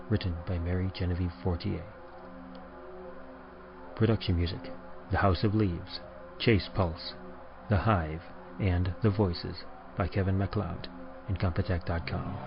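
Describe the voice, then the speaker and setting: A man's voice: low-pitched, vocal fry. A man reading scripted podcast credits as an announcer. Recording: dull, muffled audio. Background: music.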